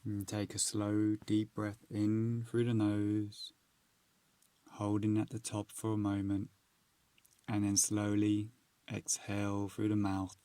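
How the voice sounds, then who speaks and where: Low, slow-paced man guiding meditation. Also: monotone, warm tone, no echo. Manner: unhurried, measured, soothing instruction with long pauses. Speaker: meditation guide. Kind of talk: guided meditation narration.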